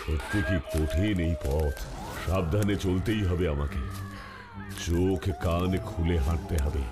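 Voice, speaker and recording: Deep-voiced, earnest cartoon superhero. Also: male voice, a little slow. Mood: resolute, wary. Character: brave, earnest muscular superhero man, polite and determined. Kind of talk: Bengali cartoon dubbing. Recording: loud music behind the voice.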